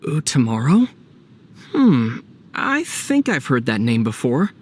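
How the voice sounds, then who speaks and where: A young man playing a calm, teasing adventurer hero in a video game, easygoing and dry in his delivery. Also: mid-range voice, slow, heavy background noise. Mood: thoughtful.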